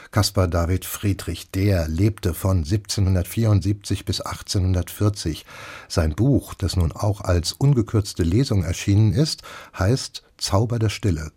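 A man's voice: fairly low pitch, warm tone, husky. A man hosting a radio programme on audiobooks, presenting and discussing books in articulate, elaborate phrases.

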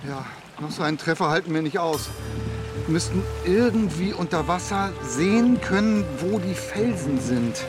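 A man's voice: sits high, expressive, normal speed, over music. An actor playing a crewman on a small pirate ship in a children's radio play. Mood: worried.

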